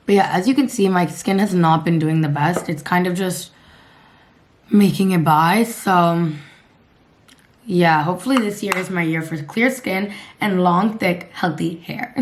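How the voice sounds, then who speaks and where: A woman in her twenties from Canada with a raspy voice, chatting bluntly and casually to her camera, swearing and laughing at herself. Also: on the low side. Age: 20s. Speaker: lifestyle vlogger.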